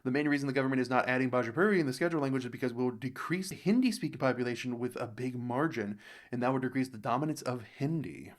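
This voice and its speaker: A young American man talks casually in a mid-pitched voice, reading viewer comments aloud and mulling them over. He rambles, trails off and restarts his sentences as he works out his thoughts. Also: fast. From United States. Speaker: reaction video host.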